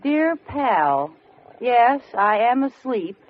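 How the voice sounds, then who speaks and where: An American actress playing a playful, scheming, affectionate housewife in an old-time radio comedy, with a coy, theatrical delivery. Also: female voice, on the high side, sing-song, very slow, exaggerated voice. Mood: fond. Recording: dull old radio recording, hiss, studio audience.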